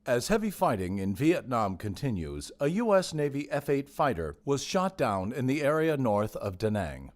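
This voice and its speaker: Casting: actor as urgent 1960s TV newsman, mid-pitched male, formal, with big swings in intonation. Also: sing-song, moderate pace, few pauses, natural voice. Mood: grave urgency. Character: urgent 1960s television war newsreader. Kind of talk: scripted news report.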